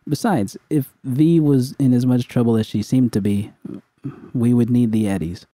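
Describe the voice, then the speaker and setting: A man's voice: medium pitch, steady tone, moderate pace, dark tone, dry recording. A man reading dramatic first-person fiction as an audiobook narrator.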